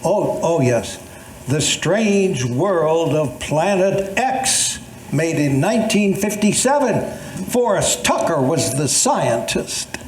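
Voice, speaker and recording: Echoey room, guy playing older nostalgic film buff in a stage play, slow and musing, lots of pitch swing. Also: big pitch swings, a little mellow. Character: nostalgic older man, eager trivia-loving film buff. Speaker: amateur readers theatre performer. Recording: echoey room, faint background noise.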